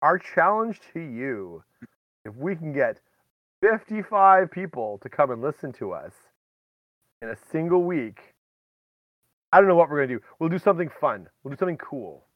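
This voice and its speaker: A man's voice: a little high, big pitch swings, moderate pace, pauses often, no echo, narrow, tinny audio. A man hosting a podcast in casual, rambling chat, talking directly to listeners with folksy exclamations. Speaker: podcast host.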